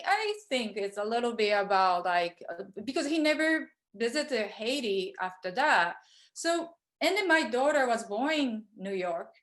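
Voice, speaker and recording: Japanese-born woman artist, hesitant talk. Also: fairly high pitch. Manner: hesitant, many fillers and restarts. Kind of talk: virtual studio visit talk. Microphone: video call.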